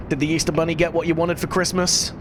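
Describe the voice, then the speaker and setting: A man's voice: a little high, flat delivery, normal speed, background noise. British man rambling over gameplay. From United Kingdom. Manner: rambling, self-deprecating, talks to chat. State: lingering cough. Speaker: streamer. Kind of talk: casual gameplay commentary.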